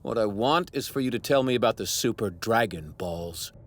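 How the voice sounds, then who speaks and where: An arrogant young apprentice deity from an anime dub. His phrasing is formal and exact, his politeness edged with righteous menace. The pitch travels widely, and the sound is very dry. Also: animated, moderate pace, no room sound. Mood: cold, menacing. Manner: formal, haughty, precisely worded.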